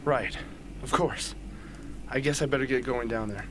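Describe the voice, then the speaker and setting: Radio play charmer, male actor: vain young warrior, playful, clear background noise. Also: medium pitch, normal speed, natural voice. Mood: eager. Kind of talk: amateur radio drama acting. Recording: noisy hobby recording, slightly distant.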